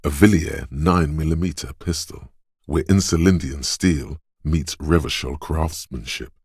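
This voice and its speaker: A man voicing an inner voice of physical instinct in a video game, advising and narrating in a deliberate, precise, instructing way. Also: rumbling low, darkish tone, slightly rough, no echo, studio quality, full character voice. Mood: reverent, solemn. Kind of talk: video game voice-over, second-person narration.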